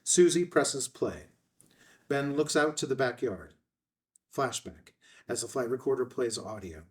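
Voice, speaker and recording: Man narrating screenplay directions, medium pitch. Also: lively intonation, measured pace, recorded from a distance.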